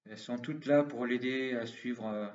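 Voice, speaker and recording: A man's voice: medium pitch, fairly even intonation, normal speed, darkish tone. A man making an earnest, impassioned appeal straight to viewers, repeating slogans. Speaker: commentator. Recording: roomy, distant, dull sound.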